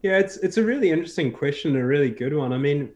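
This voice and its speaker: A man's voice: a little high, animated, quick pace, a little mellow. A man from South Africa, a guest on a talk show, chatting casually with many fillers and restarts. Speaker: podcast guest. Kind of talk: casual interview chat.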